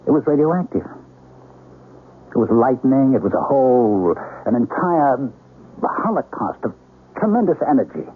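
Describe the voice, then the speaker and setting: An actor playing an anxious young father in a radio drama, earnest, hesitant and halting. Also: medium pitch, sing-song, husky. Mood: troubled, brooding. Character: anxious, hesitant husband and new father, former engineer. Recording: tinny, hissy old radio broadcast.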